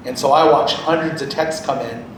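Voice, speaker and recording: A man's voice: mid-range voice, steady tone, normal speed, a little hiss or hum. A man speaking as a guest radio programmer on a panel, giving advice to artists and repeating key points for emphasis. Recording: loud music behind, roomy sound.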